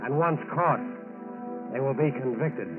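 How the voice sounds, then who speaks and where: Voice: grave male radio narrator, loud brass music. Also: medium pitch, measured pace. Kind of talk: 1940s radio scripted narration. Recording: narrow-band old radio, loud music. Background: loud brass orchestral music.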